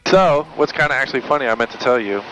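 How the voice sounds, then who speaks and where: A man's voice: medium pitch, animated, fast, noisy background. A man with an American accent, a pilot chatting casually and making radio calls from the cockpit. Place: small aircraft cockpit in flight. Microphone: aviation headset. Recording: dull intercom audio, loud aircraft drone.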